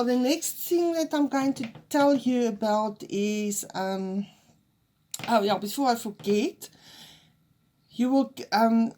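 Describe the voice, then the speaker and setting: Slow-talking Afrikaans-accented woman teaching crochet. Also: fairly high pitch, a little mellow. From South Africa. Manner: hesitant and nervous, with fillers and restarts. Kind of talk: unedited crochet lesson.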